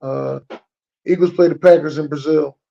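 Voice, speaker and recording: High-voiced American man, creaky, casual podcast host. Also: fairly even intonation, moderate pace, warm tone, dull audio. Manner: laid-back, slangy, repeats his phrases. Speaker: podcast host interviewing a guest. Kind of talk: casual interview chat.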